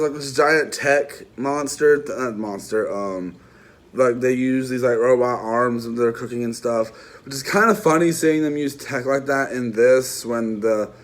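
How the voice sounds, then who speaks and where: A man giving a casual, unscripted movie review, rambling through restarts and side remarks while talking straight to his viewers. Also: on the low side. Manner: rambling, lots of restarts and trailing asides; chatty, addresses viewers directly.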